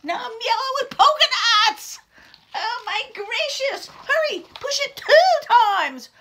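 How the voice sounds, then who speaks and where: A woman voicing an excitable, worried, mischievous monster from a children's picture book, exclaiming and urging the listener along. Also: extremely high pitch, slow, background noise, pitched above the performer's own voice, heavily acted. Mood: panicked.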